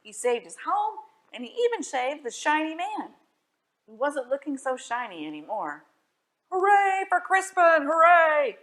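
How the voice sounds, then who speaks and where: A woman reading children's stories and poems at a library storytime, expressive and putting questions to her young listeners. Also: high voice, moderate pace.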